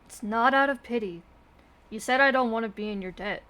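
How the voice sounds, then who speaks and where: A woman's voice: on the high side, a little fast, a bit bright, a little hiss or hum. A woman game streamer giving casual live commentary, informal, musing and joking as she goes. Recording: game music behind the voice.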